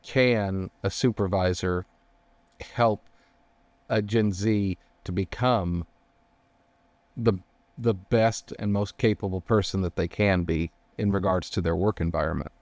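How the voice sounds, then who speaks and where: Male co-host, fairly low voice, casual and careful, dry studio-close sound. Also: fairly even intonation, unhurried, a little mellow, no room sound. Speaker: podcast co-host. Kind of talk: casual discussion.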